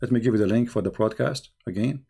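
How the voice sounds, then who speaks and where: A man with an Arabic accent hosting a live-stream debate, insistent, repeating his questions in halting English. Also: fairly low pitch, creaky, dark tone, slightly rough. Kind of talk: heated call-in debate.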